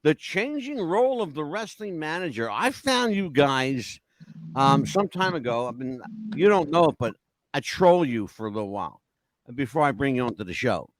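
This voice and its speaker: An older male talk show host from the Northeastern United States, speaking in a high register with big swings of intonation. He banters casually and teases theatrically, and the sound is close and dry. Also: very animated, normal speed, no echo, poor audio. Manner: teasing, theatrical, joking.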